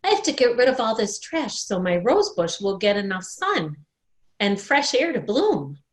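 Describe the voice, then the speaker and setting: A woman voicing an earnest, proud, excited little girl in a storybook. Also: mid-range voice, moderate pace, lightly acted. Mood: eager, confident. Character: eager, proud little girl who loves roses.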